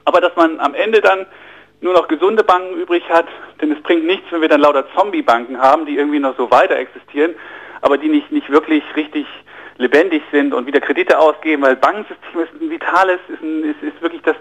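A man with a fairly high-pitched voice speaks as a radio interview guest, walking through money matters earnestly. He thinks aloud, stumbling over and repeating words before landing on his point. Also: steady tone, fast, a bit bright, low-quality recording. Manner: earnest, explanatory, often restarts and repeats words mid-sentence. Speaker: interview guest, finance journalist and author. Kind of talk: radio interview, explaining economics.

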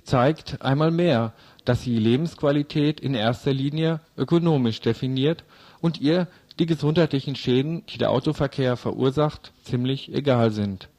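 A man's voice: medium pitch, normal speed, darkish tone. A man reading a scripted news report and commentary as a radio presenter.

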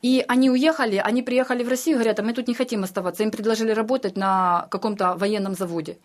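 A woman with a somewhat high voice talks very quickly as she tells a personal story in an interview. She is informal and spontaneous, slipping into quoted dialogue as she retells events. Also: steady tone. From Ukraine. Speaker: interviewee, refugee recounting her escape.

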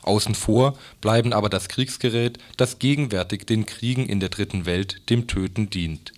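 A man's voice: on the low side, moderate pace. A man formally reads written excerpts for a radio report. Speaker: reader of quotations in a radio report.

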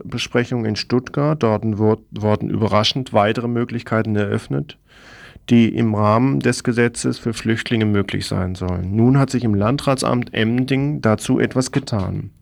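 A man's voice: mid-range voice, gravelly, no echo. A man presenting radio news from a script, with pointed, opinionated commentary in his delivery.

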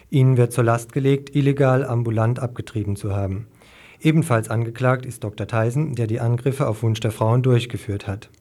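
A man's voice: mid-range voice, mellow. A man working as a radio reporter, narrating a scripted news report.

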